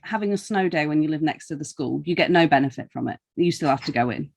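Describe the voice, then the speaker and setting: Podcast host, British, mid-pitched voice, wry and informal interviewing style. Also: lively intonation, fast talker, gravelly. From North East Lincolnshire, England. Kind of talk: casual conversational interview.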